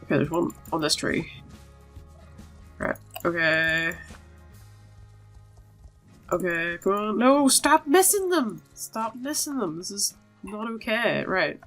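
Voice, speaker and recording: A woman's voice: mid-range voice, sing-song, takes it slowly, long pauses, a bit bright. A woman doing casual game commentary, chatty and talking to herself as she plays. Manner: chatty, talks to herself and her virtual animals. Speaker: gaming video host. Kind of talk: casual game commentary, reading dialogue aloud. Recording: voice over game music. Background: game music.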